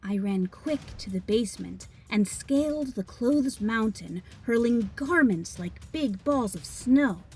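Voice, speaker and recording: Woman reading a kids book out loud, real dramatic, takes her time, music playing. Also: fairly high pitch, animated, slow, hardly any pauses, low-quality recording. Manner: dramatic, storytelling. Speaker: storybook narrator. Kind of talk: expressive children's read-aloud narration.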